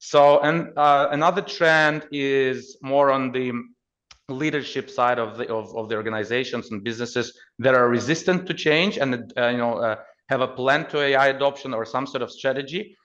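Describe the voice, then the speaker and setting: A man's voice: medium pitch, normal speed, fairly bright tone. Ukrainian guy on a podcast explaining stuff, stop and start phrasing, medium voice, kinda dull lo-fi audio. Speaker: podcast guest, AI expert. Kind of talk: conversational expert commentary.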